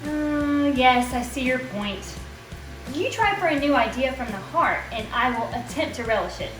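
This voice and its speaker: A woman's voice: high voice, expressive, normal speed. A woman playing a fussy, demanding lady in a stagy poetry performance, with formal, theatrical phrasing. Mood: prim, demanding. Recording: echoey room, music behind.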